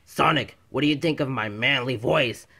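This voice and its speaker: A man's voice: medium pitch, normal speed, creaky, sharp tone, breathy edge, shouting, heavily acted. A man voicing a cocky cartoon badger girl who has a manly voice and talks with smug swagger. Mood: pleased, smug.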